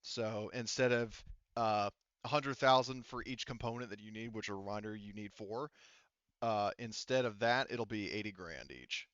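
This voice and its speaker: A man acting as game master, narrating a tabletop game casually in halting, rambling detail. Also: on the low side, fairly bright tone, smooth, dry recording, highs cut off.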